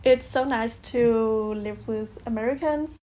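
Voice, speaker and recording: A woman's voice: on the high side, unhurried, warm tone. A twenty-eight-year-old Danish woman with a Danish accent, speaking English as a second language in a casual chat to camera, hesitant with ums and uhs. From Denmark. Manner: hesitant, fillers like um and uh, laughs between phrases. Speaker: vlog roommate.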